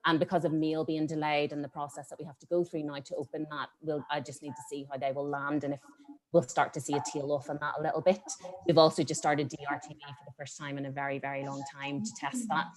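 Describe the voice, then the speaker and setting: A woman's voice: sits low, rough recording. A woman in a charity fundraising role, speaking in a panel discussion in an upbeat, enthusiastic way with frequent fillers.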